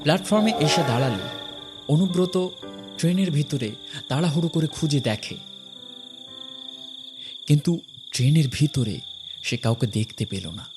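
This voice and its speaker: A man narrating a horror story from a script, dramatically, in short phrases with pauses. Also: a little high, animated, over music.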